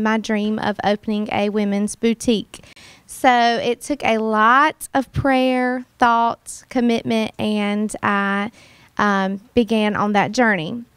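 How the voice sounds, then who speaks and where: A woman's voice: on the high side, clear, resonant tone, no echo. A woman, a teacher and shop owner, telling a panel audience her story in an earnest, reflective way. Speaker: kindergarten teacher and boutique owner. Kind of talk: personal panel talk. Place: warm college room.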